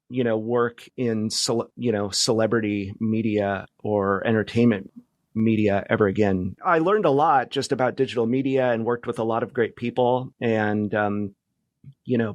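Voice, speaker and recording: A middle-aged man talks as a guest on a casual podcast. His voice sits at an average pitch and sounds dry, with little room around it. He is understated and reflective, piecing his thoughts together in halting phrases. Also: no room sound. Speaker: podcast guest, media professional. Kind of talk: casual conversational interview.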